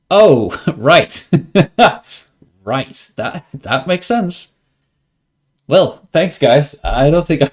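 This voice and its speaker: A man's voice: medium pitch, gravelly. Danish-accented man, casual game streamer. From Denmark. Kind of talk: casual livestream commentary over gameplay.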